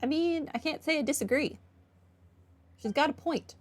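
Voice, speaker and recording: A woman's voice: sits high, expressive, moderate pace. A woman giving casual, reactive commentary while playing through a video game. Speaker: game streamer.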